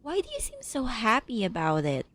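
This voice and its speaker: A woman's voice: a little high, huge pitch swings, moderate pace, fairly bright tone, a little hiss or hum. A woman gamer reacting casually during gameplay, interjecting and reading dialogue aloud.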